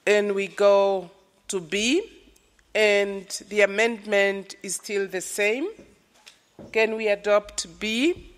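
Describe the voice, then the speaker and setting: A woman's voice: medium pitch, fairly even intonation. A woman chairing a formal committee session, calling items for adoption and asking for objections. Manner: slow, procedural, calling for objections. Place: large conference hall. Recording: long reverb of a large hall.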